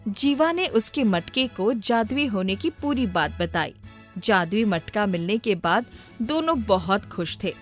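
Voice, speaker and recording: A woman narrating a scripted storybook tale. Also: mid-range voice.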